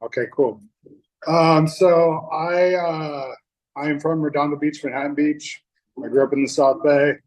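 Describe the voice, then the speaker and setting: A man's voice: on the high side, steady tone, a little slow, poor audio. A young man from North Dakota, sharing his personal story at a recovery meeting in a candid, loose way, with many fillers and restarts. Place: meeting room.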